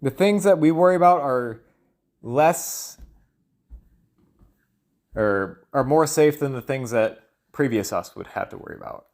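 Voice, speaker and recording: A man's voice: mid-range voice, expressive, measured pace, long pauses, darkish tone. A man thinking aloud in a loose solo monologue, hesitant and often trailing off as he searches for words. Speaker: solo audio log creator.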